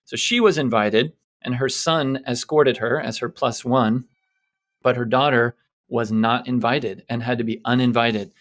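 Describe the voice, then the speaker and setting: A man's voice: mid-range voice, fairly even intonation, unhurried, a bit bright. A man co-hosting a podcast, casually retelling news stories in a bantering talk style. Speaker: co-host presenting a news quiz segment.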